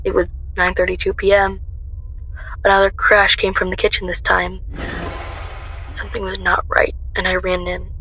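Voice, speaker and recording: A woman's voice: medium pitch, monotone, normal speed, pauses often, sharp tone, lo-fi sound, slight background noise. A woman playing a defiant, haunted young woman on the run, telling her story earnestly in a radio drama. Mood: uneasy narration. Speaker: lead actor and narrator in an amateur radio drama. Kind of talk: first-person dramatic narration and radio drama acting. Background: music.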